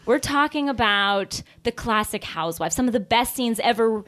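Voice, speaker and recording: A woman's voice: a little high, lively intonation, normal speed, a bit bright. An American woman co-hosting a podcast, chatting casually and reading aloud in a giggly, playfully exaggerated way. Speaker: podcast co-host. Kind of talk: casual comedic chat, reading reviews aloud.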